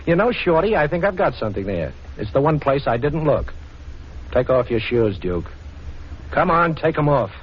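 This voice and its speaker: An actor playing a cocky, wisecracking detective in a 1940s radio drama, brash and taunting, on a muffled old recording. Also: male voice, on the high side, very animated, moderate pace, a little hiss or hum. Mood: smug. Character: cocky wisecracking male amateur detective, tough but playful.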